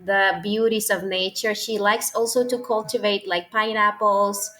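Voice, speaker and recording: A young woman with a thick Puerto Rican Spanish accent talks as a guest in a relaxed interview. English is her second language, so she stops often to hunt for the right word. Her voice sits at an ordinary, middling pitch for a woman. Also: unhurried. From Puerto Rico. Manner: hesitant, searching for words, frequent fillers. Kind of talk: casual interview conversation.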